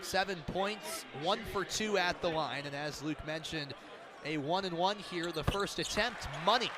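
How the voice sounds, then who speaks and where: A man doing live radio play-by-play of a basketball game, an excitable announcer who builds to loud calls on big plays. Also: sits high, measured pace. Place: courtside in a large indoor arena. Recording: crowd noise, bouncing ball in arena. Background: arena ambience, basketball bounces.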